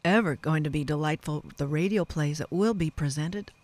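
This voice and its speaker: Male radio host, slightly high voice; casual, wandering interview style with self-corrections, close studio microphone. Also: moderate pace. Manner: chatty, rambling, self-correcting. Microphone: close studio broadcast mic.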